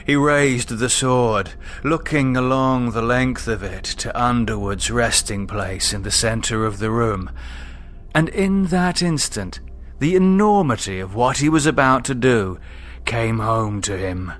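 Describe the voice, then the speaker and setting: A man narrating a horror novel he wrote, in a measured, suspenseful, dramatic storytelling manner. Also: medium pitch, a bit bright. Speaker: author reading own novel.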